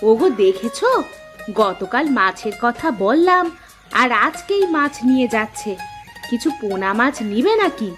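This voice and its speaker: The part is a cartoon village mother, played by a woman, practical and homely. Her voice sits a little high and she talks in a calm, encouraging way. Soft plucked mandolin music runs underneath. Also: measured pace. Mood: prompting. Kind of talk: cartoon voice acting.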